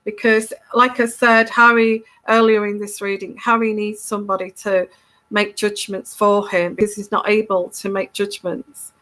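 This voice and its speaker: A woman talks through a congested, bunged-up nose. Her pitch sits in an ordinary middle range, and she chats casually to her audience, checking in with a frequent "okay?" and tripping over repeated words. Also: level tone, normal speed, fairly bright tone, rough recording. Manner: chatty, keeps tagging "okay?", many restarts. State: congested from a cold. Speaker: tarot reader hosting her channel. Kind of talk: casual solo video monologue.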